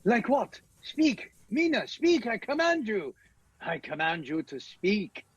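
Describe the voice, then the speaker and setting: An actor in a radio play voicing a grave, commanding professor who hunts vampires, speaking deliberately and urgently. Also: male voice, sits high, very animated, husky, dry recording, dull audio, exaggerated voice.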